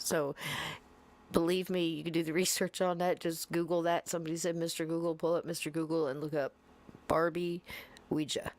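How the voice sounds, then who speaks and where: A woman hosting a podcast in casual, rambling chat, sometimes dropping into hushed asides. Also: low-pitched. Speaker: podcast host.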